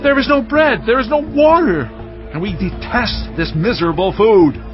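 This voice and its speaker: A man's voice: sits high, normal speed, highs cut off, over music, natural voice. An actor playing a distraught Israelite man, strained and emotional, in a dramatized audio Bible. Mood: angry complaint.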